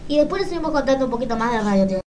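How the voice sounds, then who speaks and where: A woman's voice: fairly high pitch, lively intonation, racing, darkish tone, slight background noise. A woman presenting a radio show in a casual, chatty style.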